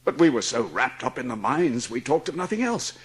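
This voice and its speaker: Man playing an anguished gentleman, swooping intonation. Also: mid-range voice, big pitch swings, breathy edge. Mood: anguished, remorseful. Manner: anguished, halting. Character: guilt-ridden ailing wealthy English gentleman. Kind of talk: dramatised audiobook acting.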